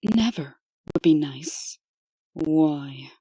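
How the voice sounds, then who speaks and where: Woman playing businesslike deputy, low voice, terse n skeptical, kinda fed up, comic dub. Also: lively intonation, extremely slow, dark tone, husky, no echo, studio quality, heavily acted. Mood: suspicious. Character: cool, businesslike, exasperated female deputy. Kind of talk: comic dub character acting.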